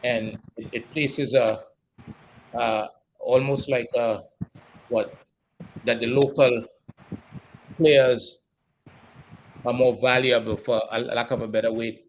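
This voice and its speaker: A man from Trinidad and Tobago, hosting a sports discussion in a deliberate, earnest way with probing questions. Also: mid-range voice, steady tone, a little hiss or hum, rough recording. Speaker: sports show host. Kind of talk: discussion and interviewing.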